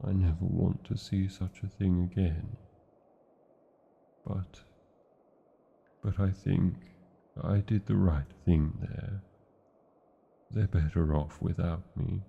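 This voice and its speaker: An ancient British dragon for a fantasy romance roleplay. The voice is very low and gravelly, and it moves extremely slowly through grand, old-fashioned phrases with lingering pauses. The creature is huge and proud, yet lovesick. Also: male voice, steady tone, narrow, tinny audio, full character voice. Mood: melancholy, regretful. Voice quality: gravelly, husky. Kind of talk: romantic fantasy ASMR roleplay, slow theatrical delivery.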